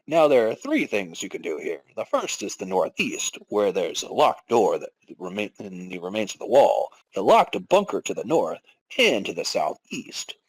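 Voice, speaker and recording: A man's voice: on the low side, huge pitch swings, normal speed, husky, dry recording, poor audio. A man hosting and narrating a comic dramatic reading of a game story. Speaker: podcast host and narrator.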